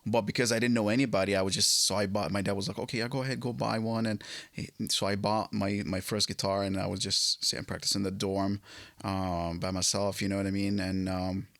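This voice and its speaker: A man from Egypt in his late thirties, a guest telling his story in a casual interview, halting, with many fillers and restarts. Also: on the low side, level tone, gravelly, a bit bright, dry recording, studio quality. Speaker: guest guitarist.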